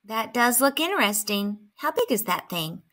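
A woman's voice: a little high, very animated, moderate pace, fairly bright tone. A woman voicing a curious, cheerful alien who patiently explains math in a children's storybook. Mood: eager. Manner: inquisitive, encouraging, explanatory.

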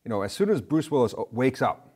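A middle-aged man chatting casually on a film show in a rambling, halting way, often interrupting himself. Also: medium pitch, big pitch swings, a little mellow, slightly rough. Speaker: film discussion show panelist. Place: indoor studio with curtains, seated.

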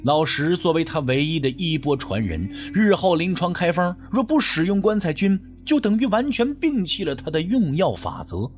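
A man's voice: on the high side, very animated, normal speed, few pauses, faint music behind. A man narrating a suspense audiobook in a dramatic, scripted style.

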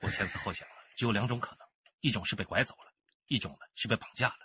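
Bright-voiced anxious father. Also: lowish voice, fast talker, slightly rough, no echo, lo-fi sound, heavily acted. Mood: grave resolve. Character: anxious, determined father of a missing boy. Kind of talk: radio drama acting.

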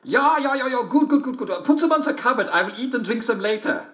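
A man voicing a sly wolf in a squeaky, put-on voice, hesitant and fawning as he talks. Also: animated, quick pace. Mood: flustered. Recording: dull, roomy sound.

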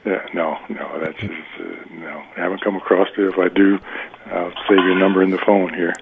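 Low-voiced man on a tinny, noisy phone line. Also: flat delivery, a little fast. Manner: hesitant, polite, guarded. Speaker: homeowner receiving a prank call. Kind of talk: casual phone conversation. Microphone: telephone.

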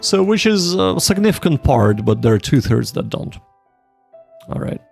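A man hosting a livestream, chatting casually and explaining things to viewers in a halting way, often repeating himself. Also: a little high, sing-song, vocal fry, darkish tone, soft background music. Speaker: livestream host of a Japanese study session.

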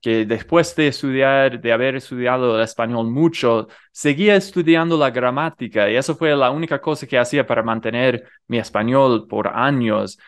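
Earnest American male guest, smooth clear tone, learner's accent in Rioplatense Spanish. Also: mid-range voice, moderate pace, hardly any pauses. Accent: American learner speaking Rioplatense Spanish. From United States. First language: English. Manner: earnest, many fillers and restarts. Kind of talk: conversational interview over video call. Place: home room. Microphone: headset with studio mic in front.

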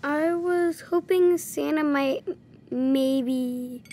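A woman voicing an imaginative ten-year-old boy who talks slowly and sincerely. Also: high-pitched, warm tone, a little hiss or hum, full character voice. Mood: earnest. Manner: slow, hesitant, sincere.

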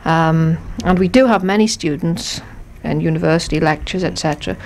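A woman speaking as a museum guest in a 1970s radio interview, agreeable and measured. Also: sits low, vocal fry, a little mellow. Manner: agreeable, measured, murmurs often. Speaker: museum library staff guest. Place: radio studio. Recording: faint hiss on 1970s radio tape.

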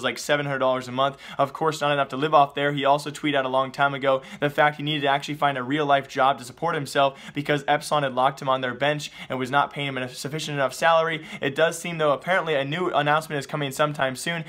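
Casting: male esports news host, twenties, slightly high voice, rapid-fire chatty delivery with excitable emphasis. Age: 20s. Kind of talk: esports news commentary to camera, YouTube delivery.

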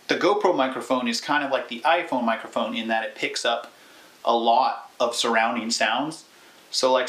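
Bright-voiced man giving a casual tutorial. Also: medium pitch. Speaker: YouTube tutorial presenter. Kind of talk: casual explanatory how-to talk. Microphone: clip-on lavalier or camera mic.

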